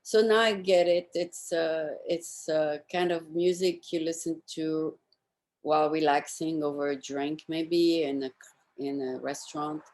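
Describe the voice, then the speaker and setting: A woman's voice: low voice, fairly even intonation, a little slow, a little mellow. A woman chatting casually as an interviewer, asking questions and commenting on the answers.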